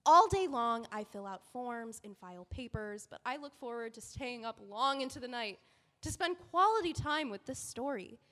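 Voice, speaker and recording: Woman doing a high, lively, earnest young editor, pleading fast, big roomy echo. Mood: heartfelt. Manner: earnest, rushing, emotional pleading. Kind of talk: audio drama acting.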